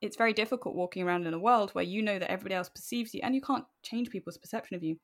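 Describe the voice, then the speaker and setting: A woman's voice: mid-range voice, racing. A woman speaking candidly and informally as an interview guest, animatedly telling personal stories. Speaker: podcast guest.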